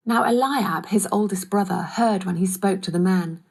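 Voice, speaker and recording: Medium-pitched woman narrating scripture. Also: normal speed, breathy edge. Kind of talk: scripted Bible story narration.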